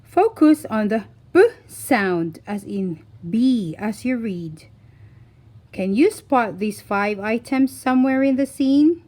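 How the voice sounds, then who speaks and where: A woman reading a scripted phonics lesson for young children, deliberately enunciating single words in an instructional way. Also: on the high side, lively intonation, mellow. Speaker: children's phonics teacher and reader.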